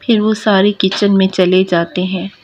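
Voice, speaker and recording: A woman narrating a scripted children's story in a simple, deliberate way. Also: mid-range voice, flat delivery, a little hiss or hum. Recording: muffled lo-fi audio, loud music behind.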